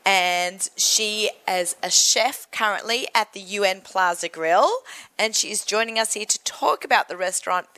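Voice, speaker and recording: A woman's voice: mid-range voice, bright, forceful tone, clean tone, low-quality recording. Bubbly Australian woman hosting a show. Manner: bubbly, chatty, quick to laugh. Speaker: radio show host. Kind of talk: casual on-air interview chat.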